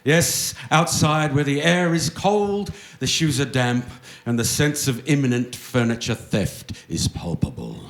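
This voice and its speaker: A man's voice: medium pitch, lively intonation, measured pace. An actor playing a tipsy, mischievous old grandfather who narrates his family tale wryly in a live radio play. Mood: wry narrating. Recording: roomy live stage, faint noise.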